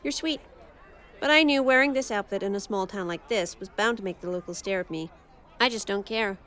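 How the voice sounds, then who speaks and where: A woman's voice: medium pitch, brisk, fairly bright tone, a faint crowd, close to an everyday voice. A woman playing a bold, carefree outsider girl in an audio drama, speaking in a blunt, confident, casual way.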